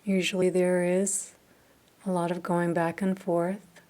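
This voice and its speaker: A woman leading a guided meditation, speaking softly and calmly with long pauses between phrases. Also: lowish voice. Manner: slow, soft, calm with long pauses. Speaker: meditation teacher.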